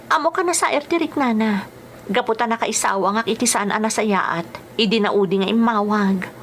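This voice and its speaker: A woman acting an anxious mother working abroad in an Ilocano radio drama. Her high voice is emotional and pleading, with an Ilocano accent. Clear noise sits behind the recording. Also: normal speed, natural voice. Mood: worried.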